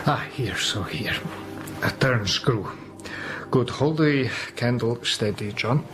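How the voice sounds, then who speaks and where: An actor playing a grave elderly foreign professor in a gothic audio drama, deliberate and halting, repeating words for weight. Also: male voice, medium pitch, expressive. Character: grave elderly foreign professor, vampire hunter, patient but relentless. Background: dramatic music.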